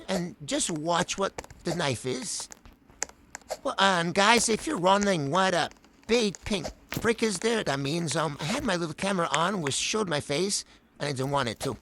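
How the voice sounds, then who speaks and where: A high-pitched speaker talks over gameplay in a loose, rambling way, padding every thought with "like" and "um." The pitch swings up and down with a lively, animated melody. A steady layer of hiss sits under the voice. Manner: rambling, full of "like" and "um" fillers. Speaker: gameplay commentator. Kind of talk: casual voice-over commentary on gameplay.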